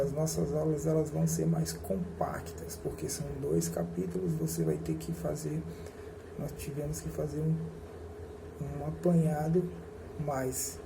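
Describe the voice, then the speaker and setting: A male history teacher lectures at an unhurried pace, with a gravelly edge to his slightly high voice. He often pauses and corrects himself as he explains. The recording is noisy, with a steady hum underneath. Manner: slow, deliberate, explains with pauses and self-corrections.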